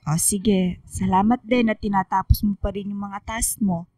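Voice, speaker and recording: A woman acting a kind, attentive high school teacher in a radio drama, with a Filipino accent and a gentle, motherly way of reassuring. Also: fairly even intonation, normal speed, no room sound, distant mic, lightly acted.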